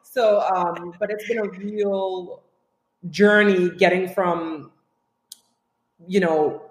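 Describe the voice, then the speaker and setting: An animated, outspoken woman talks frankly as a podcast guest. She grew up between Trinidad and Tobago and the US, and her voice rings in a roomy, echoey space. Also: on the low side, drawn-out words. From Trinidad and Tobago, raised in the US. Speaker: guest, writer. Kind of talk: casual podcast conversation. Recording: roomy echo.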